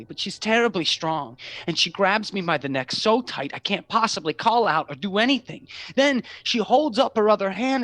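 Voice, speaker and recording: An actor playing a troubled, haunted young man, a younger brother, in a halting radio drama performance. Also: a little high, a bit bright, dry recording, close to an everyday voice. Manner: hesitant, halting.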